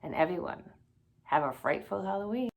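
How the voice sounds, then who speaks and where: A woman reading a children's spooky story aloud in an expressive storybook style. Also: fairly low pitch, normal speed, low-quality recording. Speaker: guest story reader.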